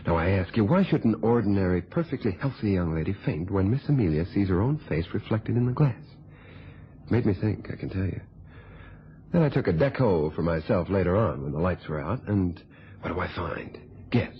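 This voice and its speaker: An actor playing a cool, calculating blackmailing reporter in a radio thriller, with a husky voice and a smug, sardonic way of telling things. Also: male voice, low voice, lively intonation, exaggerated voice. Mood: sly, expository. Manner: smug, sardonic, unhurried storytelling. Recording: dull, lo-fi old radio recording.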